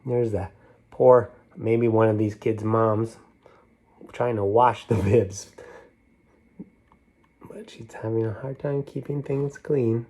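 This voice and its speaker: A man reading a picture book aloud to children, stopping to talk about the pictures and ask his young listeners questions in a playful, unhurried way. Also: lowish voice, steady tone, warm tone. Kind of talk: read-aloud storytime with asides about the pictures.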